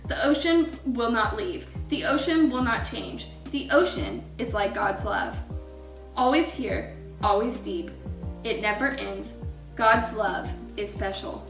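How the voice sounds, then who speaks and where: A woman voicing a gentle, loving storybook mother who reassures her little boy tenderly. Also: a little high, takes it slowly, creaky, roomy sound, recorded from a distance, faint music behind, pitched below the performer's own voice, exaggerated voice. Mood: soothing.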